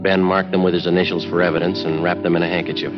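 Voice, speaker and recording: An American man playing a terse police detective in a 1950s radio drama, speaking in a clipped, deadpan way. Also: low-pitched, very fast, close to an everyday voice. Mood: terse, matter-of-fact. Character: terse, businesslike male police detective sergeant. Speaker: lead actor and narrator. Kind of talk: 1950s radio acting, scripted narration. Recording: dull vintage 1950s radio recording, music behind.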